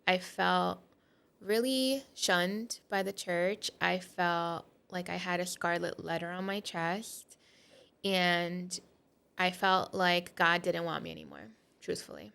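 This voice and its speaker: A woman's voice: on the low side, slow, gravelly, a bit bright, smooth. A woman in her thirties from Portugal, a podcast guest candidly telling her faith story with a lot of "um" and "like". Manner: candid and reflective, with frequent "um" and "like". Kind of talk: personal testimony in conversation.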